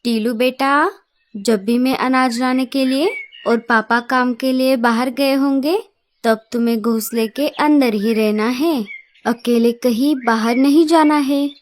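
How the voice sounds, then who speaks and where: A woman voicing a caring, cautious mother bird, gently instructing in a cartoon story. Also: high voice, unhurried, slight background noise. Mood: cautioning.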